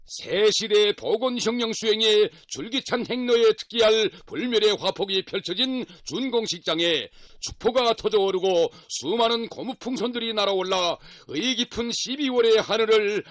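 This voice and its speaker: A North Korean man with a notably high voice delivers a formal radio news script. His delivery is solemn and declamatory, full of reverence. The sound is very dry, with hardly any room around it. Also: expressive, normal speed, few pauses, fairly bright tone, no room sound.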